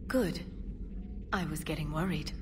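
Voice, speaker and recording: A woman playing a composed, earnest alien scientist and information broker in a video game, speaking in a measured, serious way. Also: low-pitched, recorded from a distance, background noise. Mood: tender.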